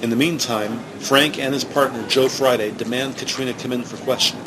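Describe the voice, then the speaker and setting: A man reading scripted narration for a police drama in a measured, factual manner. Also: mid-range voice, steady tone, background noise, natural voice.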